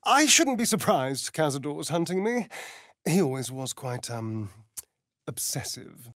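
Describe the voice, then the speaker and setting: A man's voice: fairly high pitch, sing-song, measured pace, a bit bright, no echo, studio quality, exaggerated voice. A man playing a vain, sardonic vampire rogue in a fantasy video game, with an arch, flamboyant drawl. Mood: subdued.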